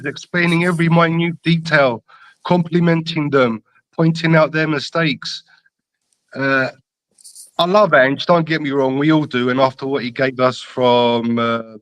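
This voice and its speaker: Male football caller, fairly high voice, North London accent with Greek Cypriot and slight Australian tinge, emphatic, deliberate, opinionated, dry recording. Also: fairly bright tone, dry recording, rough recording. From London, England. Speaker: caller to a football fan show. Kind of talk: casual, opinionated football chat.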